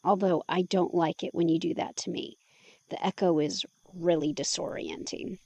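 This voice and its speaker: Woman playing husky, creaky-voiced teasing confidante. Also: fairly low pitch, fairly even intonation, normal speed, mellow, dry recording. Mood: teasing, curious. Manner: playful, blunt, prodding. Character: curious, teasing woman, the vampire's bold helper. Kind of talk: intimate ASMR audio drama acting.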